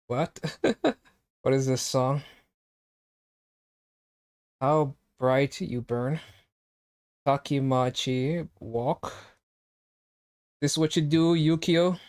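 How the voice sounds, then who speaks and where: A young male streamer with a somewhat high voice, chatting loosely and reacting to his chat. He teases viewers in a joking, offhand way while he plays. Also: steady tone, very slow, long pauses, darkish tone, clean tone. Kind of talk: casual live-stream chat.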